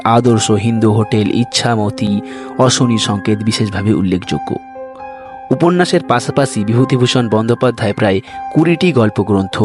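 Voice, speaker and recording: A man's voice: on the low side, darkish tone. A man hosting and reading an audio story in a scripted narration style. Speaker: story reader and host. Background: music.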